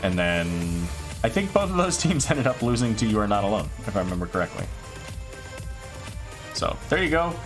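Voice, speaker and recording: Male esports caster, mid pitch, tired and a bit raspy, game music underneath. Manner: quick excited calls, then loose rambling sign-off. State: tired, voice getting raspy late at night. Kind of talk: solo esports play-by-play commentary.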